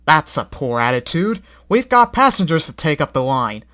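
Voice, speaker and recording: A man's voice: a little high, big pitch swings, moderate pace, bright, forceful tone, exaggerated voice. A man voicing a stern old tram engine who scolds stubborn coaches. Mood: scolding.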